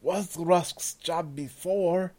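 A man voicing a simple male stranger who speaks in slow, broken phrases. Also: sits high, a little mellow, pitched above the performer's own voice. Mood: timid. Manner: slow, halting.

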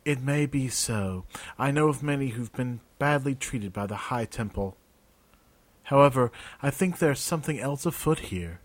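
A man voicing a calm, learned male cleric who speaks in a measured, formal way. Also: mid-range voice, no room sound, close to an everyday voice.

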